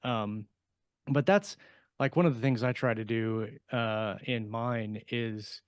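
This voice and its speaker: Low-voiced man talking on a podcast, dry sound. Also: slow pace, smooth, no room sound. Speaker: podcast co-host and fiction writer. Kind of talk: casual podcast discussion.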